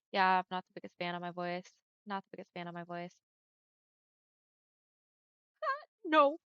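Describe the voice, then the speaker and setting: A young woman streamer chatting casually with her viewers, friendly and often laughing, with a smooth voice on the deeper side for a woman. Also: dry recording. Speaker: livestreamer. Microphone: headset mic. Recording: dull lo-fi headset mic.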